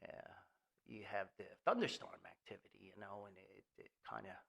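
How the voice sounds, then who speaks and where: An older American man hosting a podcast in a rambling, nostalgic monologue, folksy, halting and enthusiastic. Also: sits low, expressive, gravelly, a bit bright. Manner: folksy, halting, enthusiastic, refers to himself by name. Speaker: podcast host.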